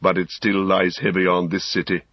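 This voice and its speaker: A grave old wizard, performed by a man reading a story. His voice is very low and rough with huskiness, speaking with weighty, urgent authority. The recording is dry and slightly dull. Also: completely flat delivery, normal speed, no room sound. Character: grave old male wizard, stern and commanding.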